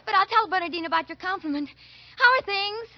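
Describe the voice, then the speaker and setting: An actress playing a chatty, naive, flirtatious detective's secretary in a 1940s radio drama, gushing and easily flustered. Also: female voice, extremely high pitch, fast talker. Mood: coy, indignant. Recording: dull, lo-fi 1940s radio recording.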